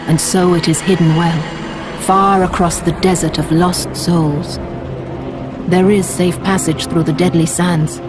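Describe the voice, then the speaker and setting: A woman playing a solemn goddess who guides a hero in a video game, grave and measured. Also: lowish voice, lightly acted. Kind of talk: video game cutscene acting. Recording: music behind the voice.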